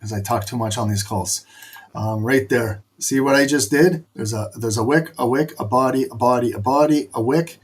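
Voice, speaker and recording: A man's voice: medium pitch, moderate pace, husky. A man who is losing his voice, hosting a live trading stream and coaching viewers in short, repeated bursts while he points at a chart.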